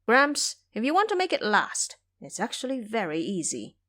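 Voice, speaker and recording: A woman's voice: on the high side, huge pitch swings, moderate pace, sharp tone, full character voice. A woman voicing a sly, ruthless young male monk, confident and scheming, in an audio drama. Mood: scheming, eager.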